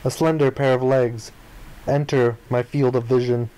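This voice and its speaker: A man's voice: medium pitch, steady tone, normal speed, dark tone, rough recording. A man reading a visual novel aloud as dramatic narration for a game playthrough.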